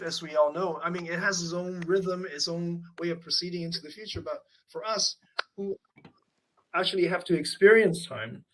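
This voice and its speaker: A man's voice: high-pitched, a bit bright, slight background noise, low-quality recording. A man being interviewed about his art, speaking in a thoughtful, reflective way with frequent fillers and restarts. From Canada. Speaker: artist interviewee. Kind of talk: conversational interview.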